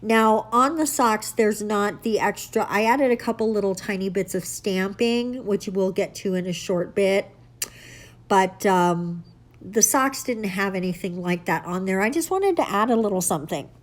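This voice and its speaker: A woman's voice: medium pitch, normal speed. A woman with a stuffy nose from allergies, hosting her nail art channel in an upbeat, chatty tutorial style. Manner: chatty, upbeat, self-correcting. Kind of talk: casual tutorial chat.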